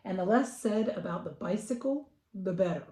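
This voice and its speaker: A middle-aged American woman hosting a children's picture-book readaloud, talking casually and reading with expressive emphasis. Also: mid-range voice, normal speed, reverberant, slight background noise.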